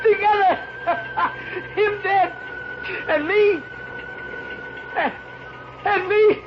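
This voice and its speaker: An actor playing a panicked killer trapped in a boxcar, stammering and repeating himself in 1950s radio thriller style. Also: male voice, extremely high pitch, huge pitch swings, very slow, full character voice. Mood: hysterical despair. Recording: noisy, muffled early 1950s radio recording.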